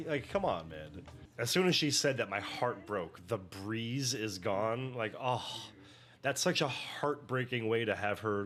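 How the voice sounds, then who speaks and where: Profane forty-year-old man reacting. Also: mid-range voice. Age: 40s. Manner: energetic, profane, laughs often. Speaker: reaction video host. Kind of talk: casual reaction commentary.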